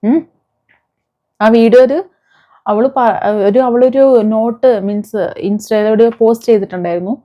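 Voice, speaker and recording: A woman's voice: on the high side, steady tone, normal speed, long pauses. A woman with a Kerala Malayalam accent giving informal, indignant commentary to viewers, scolding and posing rhetorical questions. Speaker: video commentator. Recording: dull, muffled lo-fi sound.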